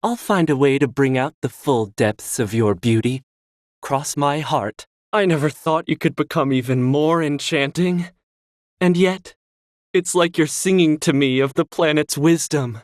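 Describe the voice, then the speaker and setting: An actor voicing a smitten, flowery romantic admirer in a video game. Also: male voice, fairly high pitch, unhurried, dry recording, studio quality, lightly acted. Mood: adoring. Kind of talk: video game character lines.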